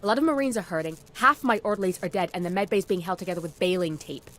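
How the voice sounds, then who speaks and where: A woman playing an overworked military medic in a video game, brisk and curt. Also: on the low side, a bit bright, lightly acted. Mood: harried, grim. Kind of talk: video game cutscene acting.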